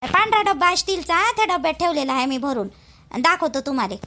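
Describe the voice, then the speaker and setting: A woman's voice: high voice, lively intonation, fast, pushed and bright. A woman with a Vidarbha Marathi accent playing a dutiful young newlywed daughter-in-law in a village cartoon, chatty, rustic and deferential. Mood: dutiful, helpful.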